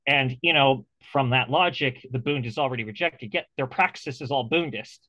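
A man talks animatedly over a video call, stumbling through restarts and fillers as his thoughts run ahead. The sound is dull and lo-fi, and very dry, with no room in it. His pitch sits in a middling range. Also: moderate pace, pushed and bright, no room sound. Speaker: interview host. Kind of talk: casual video call conversation.

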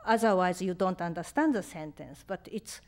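A woman in her fifties from Japan with a Japanese accent, answering audience questions in English at a reading, halting and searching for words, on a stand mic. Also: lowish voice. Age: 50s. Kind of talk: live Q&A at a reading. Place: lecture room on stage. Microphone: stand mic in front.

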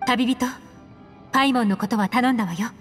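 An actress playing a composed, worldly and enigmatic woman investigator in a video game, with poised, measured speech. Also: fairly high pitch, lightly acted. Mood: resolute. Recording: long hall reverb, music behind. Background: music.

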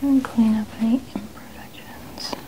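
A young woman whispering softly and breathily in an ASMR styling roleplay, gently narrating small actions as a stylist. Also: on the high side, fairly even intonation, measured pace, rough recording. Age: young adult. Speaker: ASMR host playing a dress stylist. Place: small indoor studio room. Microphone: small mic clipped at chest.

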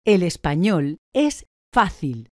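A woman giving slow, deliberate, clearly articulated answers in a language course. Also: on the low side, sing-song, warm tone, no room sound, studio quality. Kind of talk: scripted drill answers.

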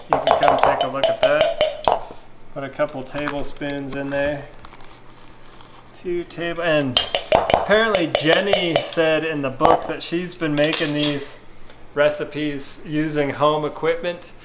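A man's voice: a little high, a little slow, long pauses. A middle-aged man casually talking through a cooking demo in his home kitchen, explaining each step as he works. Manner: relaxed, talks through each step while working. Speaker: home cook vlogger. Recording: kitchen clatter and dish noise behind.

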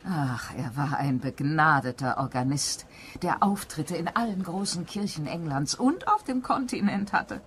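A woman's voice: sits low, expressive, a little slow, slight background noise. A woman playing a gossipy country-house housekeeper in a German mystery radio play, telling things in a confiding, dramatic way.

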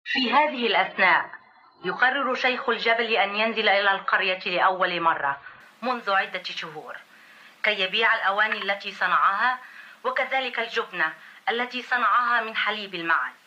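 A woman's voice: medium pitch, fairly quick, pushed and bright. A woman narrates a children's cartoon in a scripted storytelling style. Her voice comes through a slightly dull old soundtrack with faint noise.

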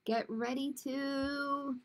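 Woman doing a cheery, sing-song sun bear, high and unhurried. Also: steady tone, exaggerated voice.

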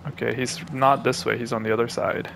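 A young man from Pakistan, hosting a gaming channel, who chats to viewers and thinks aloud as he plays, with excitable reactions. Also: medium pitch, fairly quick, creaky, background noise. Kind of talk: live gameplay commentary.

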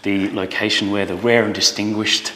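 A man's voice: lowish voice, little pitch movement, moderate pace, a bit bright. A man, co-hosting a casual wine promo in a jokey way. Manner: jokey, claims nerves. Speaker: co-host. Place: indoor wine cellar with barrels. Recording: echoey roomy hall, faint noise.

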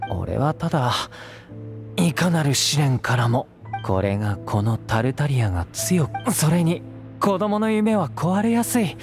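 A man's voice: a little high, sing-song, measured pace, a little mellow. A man playing a confident young warrior and protective older brother in a Japanese video game, with breezy bravado. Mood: earnest resolve. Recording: music behind the voice.

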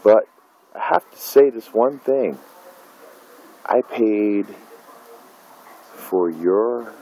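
A man's voice: fairly low pitch, lively intonation, drawn-out words, mellow, rough recording. A middle-aged man, an independent filmmaker, filming himself in a dim room at night and ranting bitterly, with profanity and lots of fillers. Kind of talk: angry personal vlog rant. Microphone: phone camera held close.

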